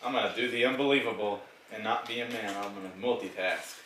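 A man's voice: on the low side, quick pace. A young man from Israel speaking English with an Israeli accent, Hebrew being his first language, making dry, joking remarks in casual chat on a couch in his living room. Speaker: vlog co-host, husband. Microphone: camera across the room. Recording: echoey room with faint background hum.

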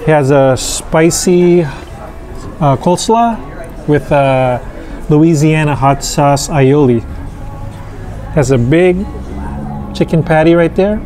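A man in his thirties reviewing restaurant food in a casual, halting way, full of fillers, on an outdoor patio with a camera on the table. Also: fairly high pitch, faint music behind. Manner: slow, relaxed, halting with many fillers. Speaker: food vlogger. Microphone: camera on table across from him.

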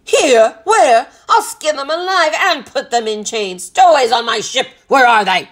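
A man's voice: very high voice, lively intonation, unhurried, fairly bright tone, pitched above the performer's own voice, heavily acted. Woman acting a roaring, hot-tempered old-time sea captain. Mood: explosive fury. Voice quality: roaring, growling. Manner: explosive, exclamatory, threatening. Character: blustering, hot-tempered ship's master, a stout sea captain.

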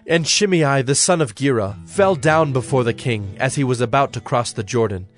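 A man narrating scripture from a script in a measured, solemn storytelling manner. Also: mid-range voice, faint music behind.

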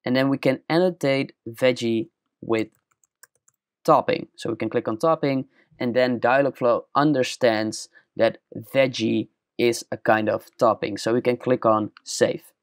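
A young man with a Dutch accent walking through a screen-recorded software tutorial step by step, in a plain explaining manner. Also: medium pitch, steady tone, unhurried, no room sound, clean studio sound. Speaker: tutorial presenter.

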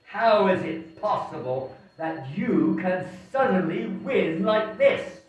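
Hot-headed snobby prince, taunting, really high voice, slow big delivery. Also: male voice, sing-song, exaggerated voice. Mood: sneering, taunting. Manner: declamatory, taunting, indignant. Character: arrogant, hot-tempered prince, a sneering sore winner. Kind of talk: live devotional dramatic reading. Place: wood-panelled hall, seated readers at stand mics. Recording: boomy echoing hall, distant muffled mics.

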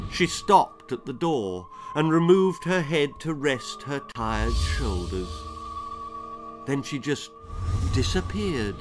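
An older man reads a spooky tale for children with theatrical suspense. His voice sits fairly high for a man and moves slowly. Music plays clearly behind him. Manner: theatrical, suspenseful, drawn-out delivery. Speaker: author reading own story. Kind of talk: dramatic children's ghost story reading. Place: small book-lined room indoors.

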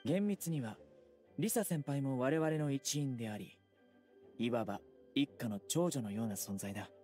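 A man voicing a stern, serious young desert-born enforcer in an anime game, speaking formally and bluntly in a deadpan. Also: mid-range voice, a little slow, lightly acted. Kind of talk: Japanese anime game voice acting. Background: music.

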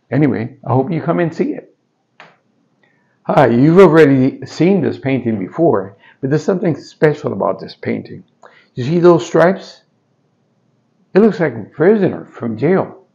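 An older man with a Spanish-tinged New York accent talks through his paintings in a friendly, halting way. His voice has a gravelly fry to it. The sound is dull and lo-fi, picked up by a camera a few feet off in a small stone-walled gallery. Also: on the high side, dark tone. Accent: Puerto Rican Spanish-tinged New York. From Puerto Rico. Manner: friendly, hesitant with fillers and restarts, gesturing. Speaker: artist. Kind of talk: casual gallery talk.

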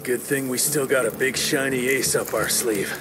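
Casting: male actor for gruff western action-game hero, medium pitch, commanding. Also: fairly even intonation, normal speed, noisy background, lightly acted. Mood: gruff, dry. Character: tough, curt, take-charge male gunslinger hero. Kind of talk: video game cutscene acting.

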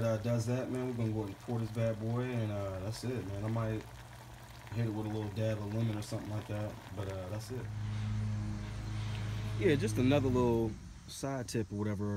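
A man's voice: fairly low pitch, background noise. A man in his forties making tea in his home kitchen, talking in a loose, rambling way to a phone camera, slightly congested. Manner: laid-back, rambling, says "man" and "bad boy" a lot. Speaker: home cook vlogger. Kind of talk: casual how-to vlog.

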